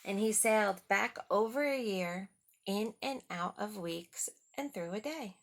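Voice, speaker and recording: Female children's storyteller, unhurried, with a creaky edge, simple child-directed asides. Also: mid-range voice. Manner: simple, child-directed talk with rhetorical questions. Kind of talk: read-aloud picture book with asides to young children.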